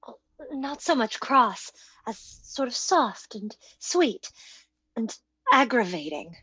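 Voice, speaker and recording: An actress playing a nervous, timid younger sister in an old-time radio gothic drama, fretful and hesitant. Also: female voice, fairly high pitch, sing-song, slow, fairly bright tone, slightly rough, no room sound, full character voice. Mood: frightened.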